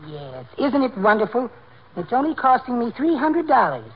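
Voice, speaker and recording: Meek little henpecked husband, muffled old radio. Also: male voice, very high-pitched, big pitch swings, moderate pace. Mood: meek, cheerful. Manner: timid, polite, giggly. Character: meek henpecked little married man, gleefully sly. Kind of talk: 1940s radio comedy acting. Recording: muffled, noisy 1940s radio recording.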